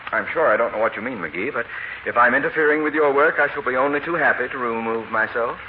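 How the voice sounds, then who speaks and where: An actor playing a prim, dignified gentleman with a formal, pompous manner, in 1940s radio comedy style on an old broadcast. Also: medium pitch, fast talker, phone-quality sound, background noise, close to an everyday voice. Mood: stiff, dignified.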